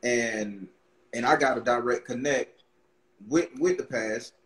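A man's voice: medium pitch, unhurried, roomy sound. A man in his thirties hosting a casual video-call interview, talking in a rambling way with many restarts. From Barbados. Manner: rambling, repeats words, excited restarts. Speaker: podcast host, tarot reader. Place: room with brick wall backdrop. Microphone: webcam on video call.

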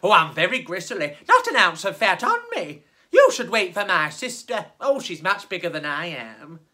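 A storyteller voicing a flustered female goat who chatters quickly and nervously, repeating "oh" again and again. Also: mid-range voice, sing-song, sharp tone.

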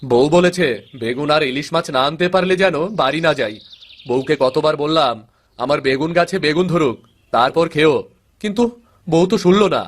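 A man's voice: fairly high pitch, normal speed, lightly acted. A man playing a simple-minded village husband in a cartoon folktale, childlike, earnest and whiny. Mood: naive, sad. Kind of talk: fairy-tale cartoon acting.

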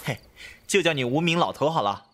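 An actor playing a brash, impulsive but devoted young man in an expressive Chinese costume audio drama. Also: on the high side, a little fast, slight background noise. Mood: wary, cheeky. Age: young adult.

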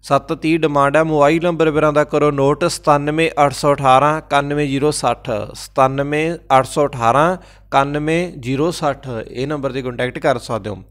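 Brisk Punjabi man reading sale listings. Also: on the high side, steady tone, a bit bright. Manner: brisk, repeats prices and phone numbers, calls listeners brothers. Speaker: channel host listing farm machinery for sale. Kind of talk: classified sales rundown voice-over. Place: voice-over, not recorded at the pictured sites.

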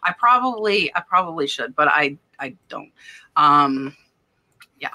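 Bright, low-pitched woman hosting a chat. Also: lively intonation, measured pace, poor audio. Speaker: podcast host. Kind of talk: casual chat.

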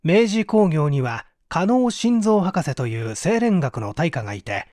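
Man, audiobook narrator, fairly high voice, lively melodic delivery, dry close sound. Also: a little slow, no room sound. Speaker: audiobook reader. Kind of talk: scripted storytelling narration of a Japanese mystery novel.

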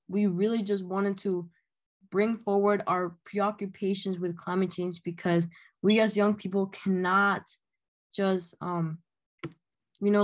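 A young woman from Honduras, earnestly telling her story as an activist over a video call from her bedroom, with many "um"s and "like"s. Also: medium pitch. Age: young adult. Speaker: youth climate activist. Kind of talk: casual spoken account. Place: bedroom at home, indoors. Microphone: laptop webcam, video call.

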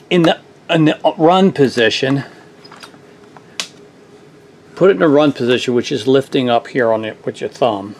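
A middle-aged man with a mid-pitched voice talks his way through a repair at a workbench. He is relaxed and self-deprecating, and he often restarts his sentences as he works. The camera mic picks up his voice close to his hands, with a faint hum of the room behind him. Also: vocal fry. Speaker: small engine repair host. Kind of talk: casual hands-on repair tutorial. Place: workshop bench, indoors.

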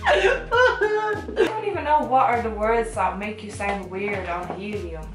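A woman's voice: mid-range voice, lively intonation, takes it slowly, music in the background. A woman in her twenties chatting casually and playfully as a vlog co-host. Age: 20s. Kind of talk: casual playful challenge banter. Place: small bedroom, indoors. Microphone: camera a couple of metres away. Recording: roomy, distant camera mic.

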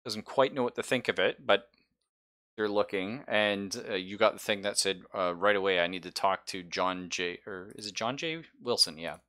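Male game master, low-pitched with a bright, forceful edge, informal and sweary storytelling. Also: moderate pace. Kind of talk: casual narration of a tabletop roleplaying game. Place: online game session, streamed.

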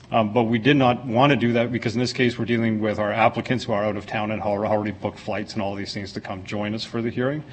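A man's voice: on the low side, a little hiss or hum. A man giving an informal, plain-spoken staff presentation to a council, explaining details as a city planner. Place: council meeting room.

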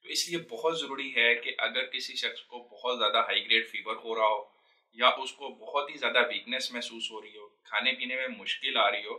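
A man in his thirties, a doctor, calmly explaining treatment to camera in a small indoor studio. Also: mid-range voice, a little fast, poor audio. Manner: calm, steady, instructive.

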